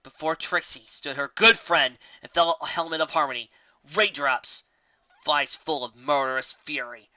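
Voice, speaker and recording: Bright-voiced dramatic story narrator, dull recording. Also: mid-range voice, steady tone, normal speed, no echo. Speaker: audiobook reader. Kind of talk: scripted narration of fan fiction story, dramatic.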